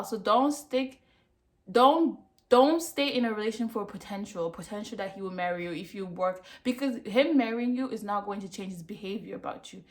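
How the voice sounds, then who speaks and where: A woman talks straight to her viewers in a casual review, pressing each point and repeating it for weight. Her voice sits in a middle range for a woman. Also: mid-range voice. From Cameroon. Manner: emphatic, repeats herself for effect. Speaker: vlogger.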